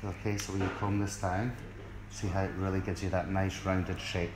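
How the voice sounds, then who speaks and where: A man's voice: deep voice, flat delivery, moderate pace. A middle-aged Scottish man giving an informal hairdressing demonstration in a friendly, explanatory way. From Scotland, born in South Africa. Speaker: hairdressing educator. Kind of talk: informal live tutorial demonstration. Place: indoors in a hair salon. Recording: echoey room with clear background noise.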